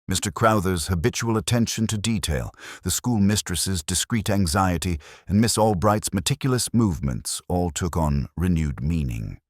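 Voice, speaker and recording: A man narrating a scripted mystery story in a measured, formal storytelling manner. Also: deep voice, no room sound, clean studio sound. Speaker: audiobook narrator.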